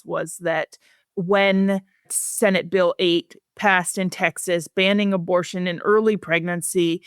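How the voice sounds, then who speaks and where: Lower-voiced woman, explaining plainly. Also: fairly bright tone, clean tone, no room sound, clean studio sound. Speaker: expert guest, researcher. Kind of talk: explanatory research interview.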